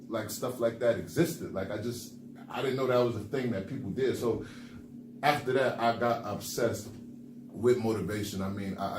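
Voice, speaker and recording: A young man from the Bronx, co-hosting a motivational podcast in a laid-back way, full of fillers. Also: on the low side. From the Bronx, New York. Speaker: podcast co-host. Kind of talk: casual motivational chat. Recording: echoey room with background noise.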